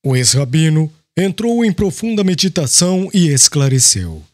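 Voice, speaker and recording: A man reading scripted literary audiobook narration in a formal, expressive manner. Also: on the high side, moderate pace, no room sound, studio quality.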